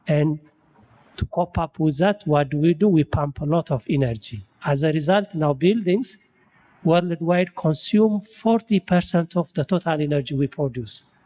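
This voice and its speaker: A man's voice: a little high, darkish tone, long reverb tail. A man from Ethiopia speaking on a panel about buildings and climate, thinking aloud and tagging "you know" onto his sentences. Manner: frequent "you know" tags, searching for words. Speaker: building science researcher. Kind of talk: panel discussion.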